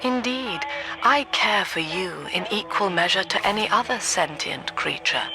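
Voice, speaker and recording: An actress playing a cold, lofty middle-aged British cult leader and psychiatrist in a video game, with measured, formal, clinical preaching. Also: female voice, medium pitch, lively intonation, over music, natural voice. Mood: cold, resolute. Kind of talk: scripted video game villain monologue.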